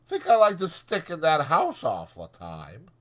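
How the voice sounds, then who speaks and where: High, taunting young ranch wife. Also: male voice, wildly swooping pitch, unhurried. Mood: defensive. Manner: taunting, pouty, rural slang. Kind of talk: dramatized audiobook character voice.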